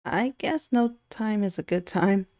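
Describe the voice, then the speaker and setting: A woman's voice: mid-range voice, unhurried, darkish tone, dry recording, studio quality, lightly acted. A woman voicing a shy, easily flustered young schoolboy in a visual novel, in a tentative, mild way. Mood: hesitant.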